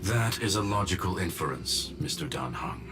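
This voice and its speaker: A man voicing a courteous robot gentleman scholar in a video game, speaking formally and precisely. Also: sits low, expressive, moderate pace, background noise. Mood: calm, precise. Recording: loud music under the voice.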